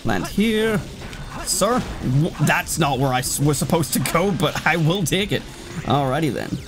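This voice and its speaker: A man's voice: a little high, expressive, unhurried. A man giving casual, rambling game commentary, chatting to the game as he plays. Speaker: let's player commentating a game. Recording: loud game music behind voice. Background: video game music.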